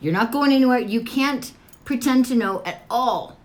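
A woman's voice: on the high side, expressive, normal speed. Firm, insistent woman. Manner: firm, insistent, cutting in with short commands. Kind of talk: heated personal conversation.

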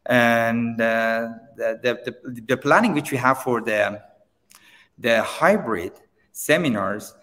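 A man whose first language is Persian, a seminar trainer answering interview questions in halting English with many restarts. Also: medium pitch, in a large hall. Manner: halting, many restarts, non-native grammar, animated when pressed. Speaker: seminar trainer and company founder.

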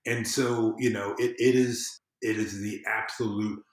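An American man speaking as a podcast guest in casual conversation, earnest and emphatic, often halting and repeating words. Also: on the low side, little pitch movement. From southern United States. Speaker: podcast guest, civic empowerment consultant. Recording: roomy, echoey sound.

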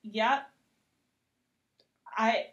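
A woman's voice: on the high side, fairly even intonation, drawn-out words, a bit bright, highs cut off. Emphatic, informal woman chatting as guest. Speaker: TV critic, podcast guest. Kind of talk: casual chat.

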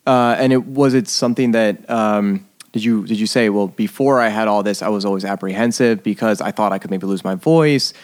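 A man's voice: on the low side, brisk, hardly any pauses, darkish tone. A young man co-hosting a casual podcast, rambling through his questions with restarts. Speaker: podcast co-host.

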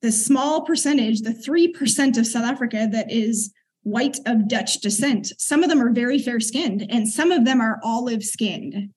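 A middle-aged woman, a podcast guest, earnestly and reflectively recounting her experiences and clearing her throat now and then. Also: on the high side, moderate pace, darkish tone. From United States. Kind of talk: conversational interview storytelling.

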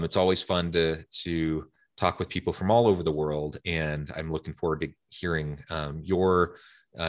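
A man's voice: low voice, fairly bright tone. A man hosting a podcast interview, enthusiastic and chatty, with frequent "um"s and restarts. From United States. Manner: enthusiastic, filler-heavy conversational hosting. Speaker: podcast host.

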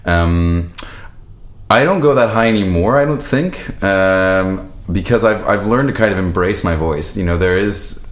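A young man, a singer, talking loudly and easily in a casual interview, laughing often. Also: unhurried. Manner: loud, booming and laughs easily. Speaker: singer guest. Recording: echoey room.

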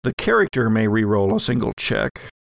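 A man working as game master, narrating an improvised tabletop horror game in halting, pieced-together descriptions. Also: lowish voice, sing-song, gravelly, a bit bright, dry recording, studio quality.

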